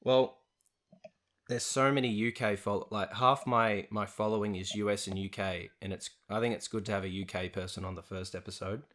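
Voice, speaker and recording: A young male podcast host with a fairly low voice chats casually. He is talkative and self-deprecating, and he laughs often. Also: steady tone, normal speed, a bit bright. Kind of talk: casual interview chat.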